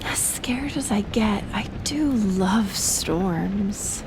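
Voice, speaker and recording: A woman playing a gentle, shyly affectionate best friend in an intimate audio roleplay, speaking softly and hesitantly with a breathy, near-whispered voice. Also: mid-range voice, expressive, background noise. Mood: calm, reflective. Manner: soft, hesitant, slow with long pauses. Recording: loud rain and thunder throughout. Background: rain and thunder effects.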